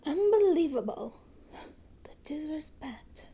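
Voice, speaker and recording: A woman playing a hesitant, wry resurrected witch in an ASMR roleplay, with a soft, breathy voice. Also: high voice, big pitch swings, takes it slowly, heavily acted. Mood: indignant.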